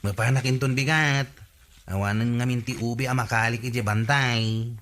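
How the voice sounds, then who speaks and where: An actor playing a boastful, easygoing village man in a radio comedy drama, with a teasing, drawn-out delivery. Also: mid-range voice, fairly even intonation, fairly bright tone, close to an everyday voice. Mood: explaining, coaxing.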